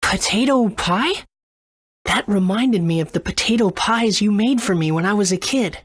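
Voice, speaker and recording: A woman voicing an earnest, gentle young boy hero in a video game, with a husky tone. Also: medium pitch, normal speed, no room sound, studio quality, natural voice. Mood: wistful.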